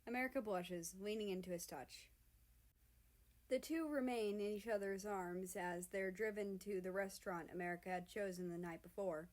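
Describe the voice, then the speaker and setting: Woman reading a story out loud, medium pitch, scripted narration. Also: fairly even intonation, moderate pace. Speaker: fan fiction reader.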